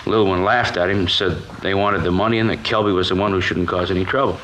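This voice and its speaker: A man's voice: low voice, fairly even intonation, fast talker, a bit bright, poor audio. A male actor playing a nervous, colloquial American kitchen worker giving a witness account in a 1950s radio crime drama. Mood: anxious recounting. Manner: hesitant, colloquial, defensive. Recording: old radio recording with background hiss.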